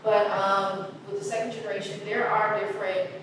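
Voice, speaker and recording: A young woman from the States speaks haltingly in a group chat, filling gaps with ums. Her voice sits mid-pitch and comes from a distance in a very echoey room with steady background noise. It sounds like a loosely miked panel recording. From United States. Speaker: panel guest. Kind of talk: casual group discussion.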